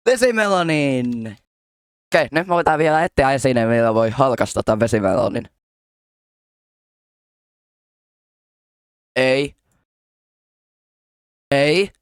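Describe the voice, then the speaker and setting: Man voicing a hapless, lively-pitched cartoon cat. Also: smooth, no room sound, clean studio sound, close to an everyday voice. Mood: determined. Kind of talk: amateur animated comedy fandub.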